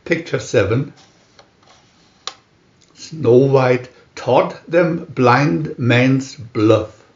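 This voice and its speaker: A man reading a scripted storybook aloud in a deliberate, measured manner with pauses between captions. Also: mid-range voice. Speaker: storybook reader. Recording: roomy, dull lo-fi sound.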